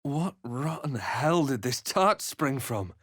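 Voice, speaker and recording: Feisty fantasy companion for a game: sardonic, lively swings in pitch, dry recording. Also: male voice, slow pace, slightly rough, dry recording, full character voice. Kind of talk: scripted game character lines.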